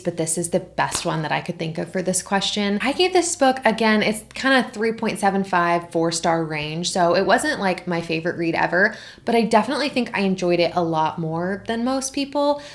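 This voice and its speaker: A woman talks casually to her viewers as a book reviewer, in a mid-pitched voice with plenty of creaky fry. She is chatty and expressive, leaning on drawn-out words for emphasis and gently mocking herself. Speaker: book vlogger and host.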